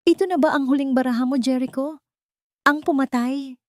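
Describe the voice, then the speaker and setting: A woman voicing a defiant, long-suffering wife in an audio drama, soft-spoken but sharp-edged. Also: high voice, fairly even intonation, moderate pace, no echo, clean studio sound, pitched above the performer's own voice, natural voice. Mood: defiant, cutting.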